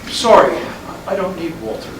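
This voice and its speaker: Actor as tired husband in his fifties, halting, defensive, stage drama, distant noisy recording. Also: fairly high pitch, sing-song, reverberant. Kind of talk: staged play reading. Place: roomy hall, staged reading at a college.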